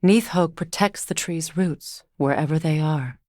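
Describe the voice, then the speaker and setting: An actress playing a solemn, regal Norse goddess warrior in a video game, speaking in a measured, grave, formal manner. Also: female voice, low voice, darkish tone, dry recording, clean studio sound. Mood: solemn, reflective. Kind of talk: dramatic video game voice acting.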